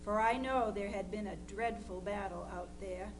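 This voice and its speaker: A woman's voice: mid-range voice, normal speed, natural voice. Woman as anxious Civil War mother, dramatized letter reading, mid-range voice, plain careful delivery, noisy humming live recording. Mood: worried, tender.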